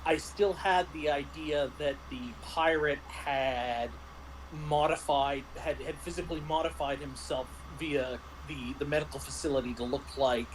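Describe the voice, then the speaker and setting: A man casually recounting tabletop game sessions as game master, speaking haltingly and often clearing his throat. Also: fairly high pitch, fairly even intonation. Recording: clearly noisy background.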